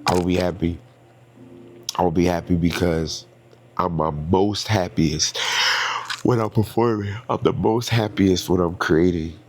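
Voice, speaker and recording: A man's voice: low voice, steady tone, unhurried, long pauses, a little mellow, slight background noise. A man with a stuffy nose hosting his own podcast, talking in a casual, reflective and rambling way with lots of fillers. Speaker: podcast host and singer. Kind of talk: casual solo podcast monologue.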